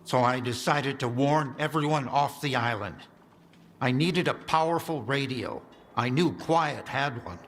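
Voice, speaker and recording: A man's voice: medium pitch, measured pace, fairly bright tone. A man voicing a worried male survivor in a video game, explaining things in an earnest, urgent way. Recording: game audio with clear ambient noise.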